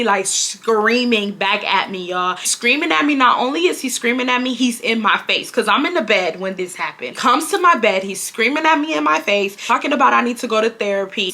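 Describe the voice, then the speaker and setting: A woman tells a casual storytime. Her voice is bright and forward. She is animated, dramatic and slangy, and she acts out the shouting. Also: fairly high pitch. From Houston, Texas. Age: 20s. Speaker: vlogger.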